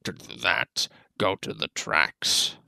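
A menacing space-western gunslinger villain. The voice is low and heavily gravelled, with a slow, deliberate drawl, as if from a film, calmly giving step-by-step instructions. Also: male voice, slight background noise, exaggerated voice. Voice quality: gravelly, creaky. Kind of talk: tutorial narration in character.

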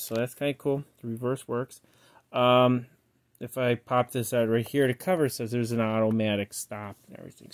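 Halting man in a casual gadget walkthrough. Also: mid-range voice, a little mellow. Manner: halting, rambling, trails off mid-sentence. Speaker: collector showing a yard-sale cassette player. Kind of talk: casual unscripted product walkthrough. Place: indoors, close over a tabletop. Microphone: handheld phone he is filming with.

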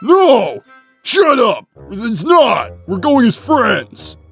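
A man voicing a dense, gruff, easily flustered young echidna warrior in a comic dub, earnest and stammering. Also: very high voice, huge pitch swings, very slow, a bit bright, soft background music, heavily acted. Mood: defensive, flustered.

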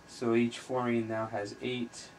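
Even-toned man tutoring over background noise. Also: medium pitch. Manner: halting, thinking aloud while writing. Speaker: chemistry tutor, video host. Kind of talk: informal explanatory tutorial. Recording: noisy amateur recording with room ambience.

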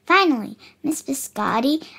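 Young girl, about eight, for picture-book read-aloud; high, slightly creaky voice, careful expressive delivery. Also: measured pace. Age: child, about 8. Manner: careful, expressive reading with small stumbles. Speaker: child reader of picture books.

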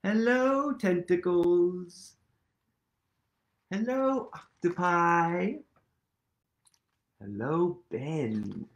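A man in his thirties reading a picture book aloud to children, animated and playful, leading them in actions, recorded on a webcam in a small room. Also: high-pitched, very slow, long pauses, muffled recording. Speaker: storytime reader.

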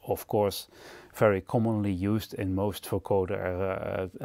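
A man giving an unscripted product demonstration as presenter, explaining in a halting, hesitant way. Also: low voice, a little mellow, no room sound. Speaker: gear reviewer and presenter.

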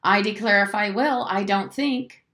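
A woman's voice: mid-range voice, a little slow, fairly bright tone, voice pushed up, lightly acted. A woman voicing a stubborn, argumentative little tomboy girl, insistent and contradicting, in an audiobook story. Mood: wary, stubborn.

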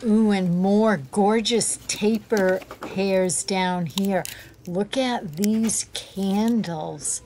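A woman's voice: medium pitch, very slow, a little mellow, slight background noise. A woman narrating a shop-with-me vlog inside a store, filming on a handheld phone and gushing over the items she finds. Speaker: shopping vlogger. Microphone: handheld phone camera.

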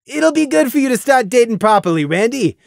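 A storyteller voicing a rowdy young pony stallion who loudly teases his friend. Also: mid-range voice, sing-song, fairly quick, vocal fry, dry recording, clean studio sound, voice pushed up. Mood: teasing. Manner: boisterous, teasing, pushy.

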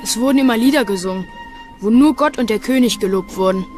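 Woman acting earnest weaver's child, measured recitation, slightly high voice, historical audio documentary, music behind. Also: a little mellow, lightly acted. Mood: plain, recollecting.

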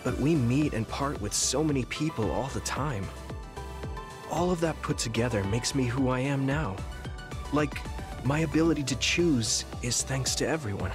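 An actor playing an earnest young male psychic soldier in a game, speaking gently and sincerely, with music behind. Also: medium pitch, normal speed, lightly acted. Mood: reflective. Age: young adult. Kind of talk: video game dub acting.

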